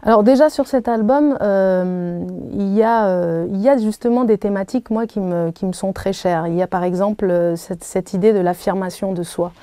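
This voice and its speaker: A woman in her thirties whose first language is Arabic, answering interview questions thoughtfully, with many pauses and restarts. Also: medium pitch, mellow, smooth, no room sound. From Algeria. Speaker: singer being interviewed. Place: hotel room.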